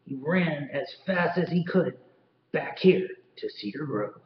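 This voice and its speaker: A man's voice: a little high, expressive, unhurried. Man doing a shaken wagon driver, urgent telling, slightly raised voice, roomy dull recording.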